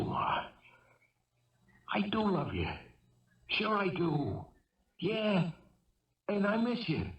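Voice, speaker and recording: A man's voice: a little high, creaky, far from the mic, heavily acted. An actor playing a troubled young fugitive killer in a 1970s radio drama, defensive and childlike, stammering and repeating himself as he pleads. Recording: roomy, muffled old radio broadcast.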